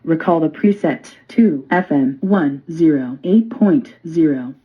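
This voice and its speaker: A woman's voice: low voice, expressive, measured pace. A synthetic woman's voice giving clipped, formulaic menu announcements, played through a radio's speaker. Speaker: talking radio voice prompt. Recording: dull lo-fi small device speaker.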